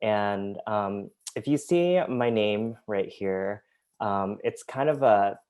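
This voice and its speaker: A man's voice: on the low side, slow, clear, resonant tone. A man, a graphic designer and artist, presenting his work over a video call, with many hesitant fillers. His first language was Vietnamese. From United States. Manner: hesitant, many ums, soft-spoken. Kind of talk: presenting artwork in an online talk.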